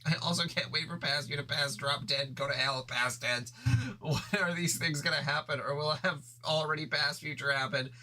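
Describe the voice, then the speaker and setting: A man voicing an angry, foul-mouthed young male troll who yells crude insults. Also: a little high, fairly even intonation, normal speed. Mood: gloating.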